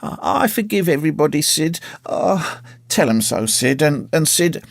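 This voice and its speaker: A man's voice: high-pitched, lively intonation, measured pace, fairly bright tone, no echo, studio quality, pitched above the performer's own voice. Man doing a melodramatic groaning boy.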